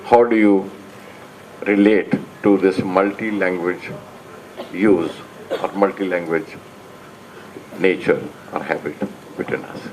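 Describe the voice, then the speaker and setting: Low-voiced male panel moderator. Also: fairly even intonation, takes it slowly, long pauses, a little mellow, a little hiss or hum, poor audio. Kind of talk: formal public remarks.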